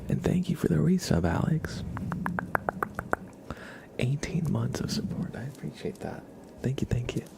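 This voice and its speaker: A man hosting an ASMR livestream, speaking softly and casually to viewers in a gentle, rambling, hesitant way. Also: noisy background. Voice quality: soft, breathy whispering with creaky low undertone. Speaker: livestream host.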